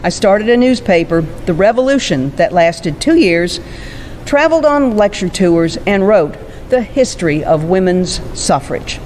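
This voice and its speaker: A woman playing an aged, resolute suffrage campaigner who recounts her life in a formal, deliberate oratorical manner. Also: mid-range voice, very animated, a little mellow, slight background noise, low-quality recording. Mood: proud, dignified. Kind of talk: first-person historical monologue on stage.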